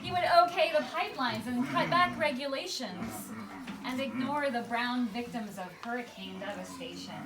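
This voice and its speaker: A woman's voice: on the high side, moderate pace, background noise. A woman narrating a rhyming satirical puppet show with a theatrical storybook delivery. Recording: echoey room, distant mic, loud music. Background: loud music.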